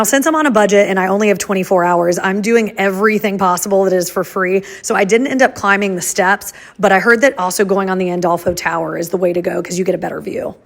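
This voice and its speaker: Female travel vlogger, American accent, medium pitch, enthusiastic and casual voice-over narration. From United States. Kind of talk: upbeat scripted vlog voice-over narration.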